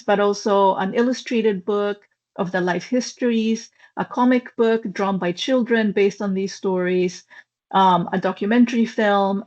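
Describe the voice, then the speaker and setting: A woman's voice: medium pitch, a little mellow. A woman lecturer presenting her research in a webinar, speaking thoughtfully with frequent ums and uhs. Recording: slightly dull, lo-fi online call audio.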